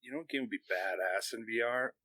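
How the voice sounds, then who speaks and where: Bright, lowish-voiced man chatting casually. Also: brisk, no room sound. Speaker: podcast co-host. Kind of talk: casual gaming chat.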